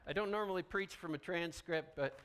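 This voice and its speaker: A man's voice: high-pitched, a little fast, fairly bright tone, clear, resonant tone. A man preaching an informal sermon as a pastor, conversational and folksy with wry asides. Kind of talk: informal sermon, partly read from notes.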